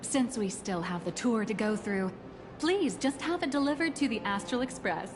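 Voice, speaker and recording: Fairly high female voice, actress as confident young guide, teasing, game acting, music behind. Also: expressive, normal speed, lightly acted. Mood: businesslike, friendly.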